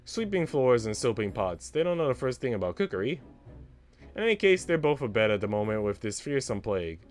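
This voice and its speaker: Man voicing an arch, flirtatious servant woman, animated. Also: medium pitch, a little fast, soft background music, lightly acted. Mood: scornful.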